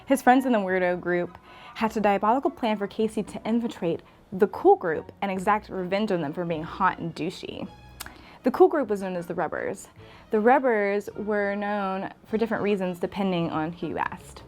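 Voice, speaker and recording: Woman reading a funny scripted story, medium pitch, bit of music behind her. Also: moderate pace, darkish tone. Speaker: guest storyteller. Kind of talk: scripted comedic storytelling narration.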